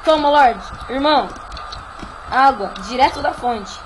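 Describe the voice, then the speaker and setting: A woman with a Brazilian Portuguese accent voicing an excitable, whiny, giggly child in an improvised Minecraft roleplay. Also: high-pitched, measured pace, noisy background.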